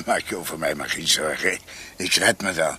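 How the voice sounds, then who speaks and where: An actor playing a grave, devoted older doctor in a Dutch radio drama, with a husky voice. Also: male voice, a bass voice, expressive, moderate pace, sharp tone, slight background noise, heavily acted. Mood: tender farewell.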